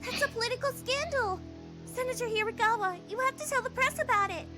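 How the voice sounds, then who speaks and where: A woman voicing an earnest, excitable, naive but brave young woman in a visual novel, expressive and girlish. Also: very high voice, fairly quick, music in the background. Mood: alarmed, insistent.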